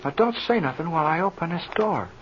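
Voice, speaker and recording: An actor playing a folksy, curious, plain-spoken mechanic in an old-time radio adventure serial. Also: male voice, on the high side, animated, fast, close to an everyday voice. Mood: curious, suspicious. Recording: dull, noisy old radio serial recording.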